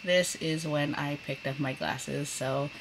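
A woman's voice: sits low, fairly even intonation, slow pace, gravelly, slight background noise, low-quality recording. A woman in her thirties chatting casually as a vlogger, in an informal, rambling way. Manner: chatty, informal, frequent use of "like". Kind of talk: casual chatty vlog talk.

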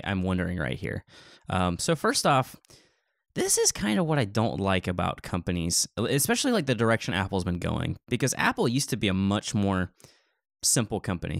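A man with a fairly low voice talks as a podcast co-host. His delivery is casual and conversational, and the sound is close and dry with very little room. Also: dry recording. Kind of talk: casual podcast chat and commentary.

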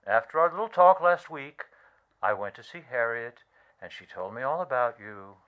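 A man in a radio play acting an earnest, regretful conspirator who justifies himself haltingly and dramatically. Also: medium pitch, expressive, phone-quality sound, lightly acted. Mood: grave, accusing.

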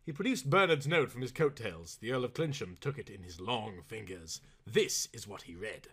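A man reading a comic novel aloud as an expressive storybook narrator. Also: fairly low pitch, moderate pace, slightly rough.

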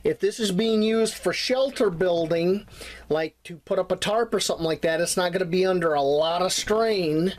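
A man's voice: sits high, darkish tone. A man teaching bushcraft knots, talking through each step while his hands tie the cord. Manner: plain step-by-step explaining while tying. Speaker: bushcraft instructor. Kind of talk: hands-on knot tutorial.

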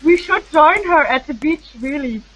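A middle-aged woman co-hosting a casual chat from her living room on a phone handset, relaxed, with fillers and trailing sentences. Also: high voice, normal speed, low-quality recording. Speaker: co-host. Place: home living room, indoors.

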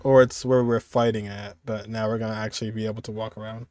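A man's voice: on the low side, level tone, quick pace, darkish tone, a little hiss or hum. A young man giving casual reaction commentary as he streams a game. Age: young adult. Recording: game music behind.

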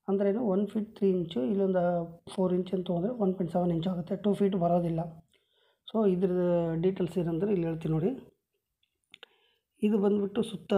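Dark-voiced woman explaining plans. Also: mid-range voice, gravelly. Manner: plain step-by-step explanation, many measurements. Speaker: home plan explainer. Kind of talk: explaining a floor plan with a pen. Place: at a table over paper plans.

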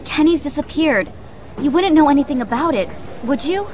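A woman's voice: sits high, animated, moderate pace, darkish tone, a little hiss or hum. A young woman with an American accent acting a bossy, anxious teenage schoolgirl in a horror video game. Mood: tense. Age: young adult. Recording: background music under dialogue.

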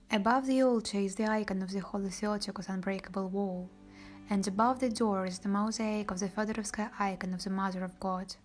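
A woman narrates a documentary in a steady, measured reading style. Her voice sits at an ordinary middle pitch. Soft music plays faintly beneath her studio voice-over.

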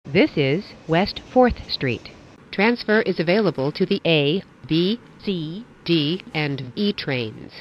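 A woman reading recorded train announcements in a measured, formulaic way with careful enunciation. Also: fairly low pitch, lively intonation, highs cut off, a little hiss or hum.

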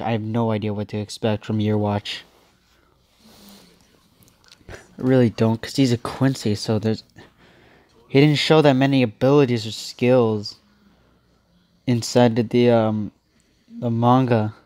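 Young guy, stuffy nose, rambly gameplay talk, middle pitch, dry close mic. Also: steady tone, unhurried, pauses often, darkish tone, no echo. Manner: rambling, thinking aloud with many restarts. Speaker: gaming channel host. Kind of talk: casual gameplay commentary.

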